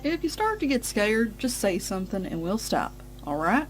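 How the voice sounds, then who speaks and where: A woman voicing a caring country farm pony, gentle and reassuring like a big sister. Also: mid-range voice, big pitch swings, moderate pace, over music, natural voice. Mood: gentle, patient.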